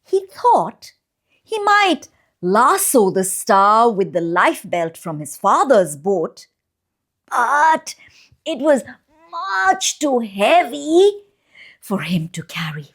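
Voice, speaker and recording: A woman reading a children's bedtime story aloud, with expressive, deliberate phrasing and drawn-out pauses for young listeners. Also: a little high. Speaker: children's storyteller and channel host.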